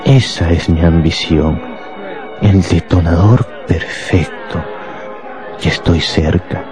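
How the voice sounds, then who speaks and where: Fanatical professor, radio play: low voice, grandiose, calmly menacing, score underneath. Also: male voice, slow pace, music in the background. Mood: solemn, obsessed. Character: cold fanatical bomb-making professor, proud and deadly calm. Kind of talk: Spanish-language radio drama acting.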